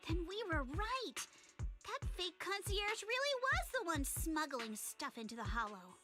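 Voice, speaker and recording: An actress voicing a confident, sharp-witted young woman in a game, talking things through animatedly. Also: very high-pitched, sing-song, unhurried, in a large hall, music in the background, exaggerated voice.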